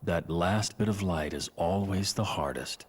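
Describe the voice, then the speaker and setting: A menacing, aloof video game villain with an extremely deep voice. He speaks slowly and deliberately, taunting in a cold, calm way. Faint noise sits behind the voice. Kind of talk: video game cutscene acting.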